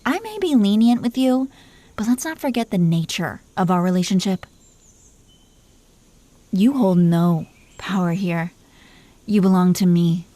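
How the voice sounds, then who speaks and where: Mellow, possessive dragon queen played by a woman. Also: mid-range voice, animated, measured pace, pauses often, no echo, natural voice. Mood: commanding, possessive. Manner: playful, commanding, mock-sweet. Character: possessive, teasing dragon queen, dominant yet doting wife. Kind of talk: intimate roleplay audio acting.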